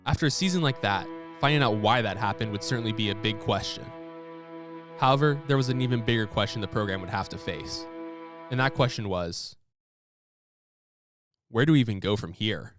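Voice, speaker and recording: A man's voice: lowish voice, expressive. Man narrating a documentary over music. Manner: measured, storytelling delivery. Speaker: podcast host and narrator. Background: music bed.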